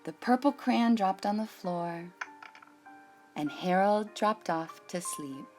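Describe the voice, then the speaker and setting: Animated woman reading a children's story. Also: mid-range voice, slow pace, soft background music. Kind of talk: expressive picture-book read-aloud for children.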